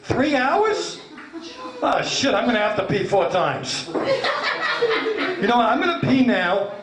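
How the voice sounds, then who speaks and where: Brash high-voiced New York comic, echoey club. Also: male voice, sing-song, slow. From Long Island, New York. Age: 50s. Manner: brash, swearing, self-mocking, acts out quoted voices. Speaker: stand-up comedian. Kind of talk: stand-up comedy set. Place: comedy club stage before a laughing audience. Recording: echoey club room, loud background noise.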